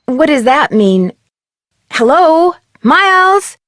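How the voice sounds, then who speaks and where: A woman's voice: high-pitched, sing-song, takes it slowly, a bit bright, slight background noise, exaggerated voice. A woman playing a polite, inquisitive young amateur detective in a video game, natural and conversational. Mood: curious, polite. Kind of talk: video game character voice lines.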